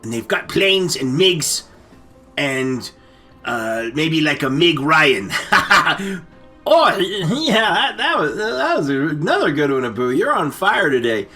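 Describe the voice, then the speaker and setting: A man's voice: a little high, big pitch swings, slow, sharp tone, faint music behind. A man hosting a comic story time, retelling a movie in a rambling, stammering way with muddled names and asides. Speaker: story-time show host.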